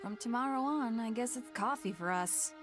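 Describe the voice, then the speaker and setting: A woman's voice: on the high side, normal speed, over music, close to an everyday voice. A woman playing a glum, mild, wistful soldier in a game drama. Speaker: game voice actor.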